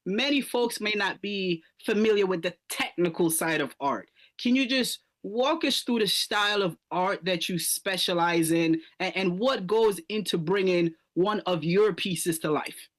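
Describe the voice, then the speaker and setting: An upbeat man hosts a radio interview, his voice high for a man. He trips over and repeats words as he eases into each question. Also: unhurried, few pauses, fairly bright tone. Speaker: radio show host.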